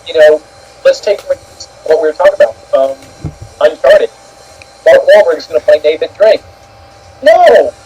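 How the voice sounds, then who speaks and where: A man's voice: fairly high pitch, very animated, normal speed, long pauses, warm tone, slightly rough. A man co-hosting a casual, opinionated chat, heard through slightly dull, muffled audio. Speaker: co-host giving opinions.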